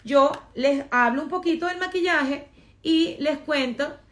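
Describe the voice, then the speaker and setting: A woman's voice: sits high, a little slow. A woman with a Venezuelan accent talking casually and emphatically to her followers in a vlog, repeating phrases for effect. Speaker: social media influencer and vlogger. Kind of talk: casual get-ready-with-me vlog monologue.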